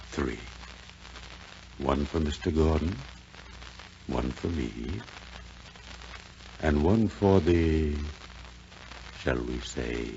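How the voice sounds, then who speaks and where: An actor playing a generous, well-to-do gentleman in a 1940s radio drama, with an expansive, genteel manner. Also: a bass voice, creaky, heavily acted. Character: hearty, lonely well-to-do gentleman, generous and reflective. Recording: muffled, noisy 1940s radio recording.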